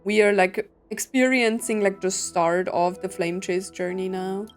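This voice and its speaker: A woman's voice: mid-range voice, fairly even intonation, unhurried. A woman streamer giving casual playthrough commentary, reading game text aloud and musing in short reactions.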